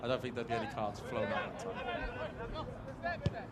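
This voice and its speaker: A man's voice: medium pitch, wildly swooping pitch, moderate pace. A man co-commentating on a live football match in a conversational, analytical style. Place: outdoors at a football ground. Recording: outdoors with loud crowd noise. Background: loud crowd.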